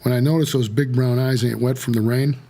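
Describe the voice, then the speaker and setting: Gravelly, world-weary noir cabbie, played by a man. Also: medium pitch, fairly even intonation, a little fast, mellow, lightly acted. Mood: hard-boiled. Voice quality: gravelly, husky. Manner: hard-boiled, wry, colloquial. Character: world-weary, streetwise male New York cab driver narrating his story. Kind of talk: noir scripted narration, audio drama.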